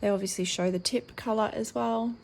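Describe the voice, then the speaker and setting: A woman with a croaky, worn-out voice talks casually through an unboxing at her table, filling pauses with ums and reading labels aloud. Her tone has a gravelly edge, with a faint hiss of background noise. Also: a little high, fairly even intonation, darkish tone. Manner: chatty with frequent ums, reads packaging aloud. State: croaky, tired voice. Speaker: colouring channel host.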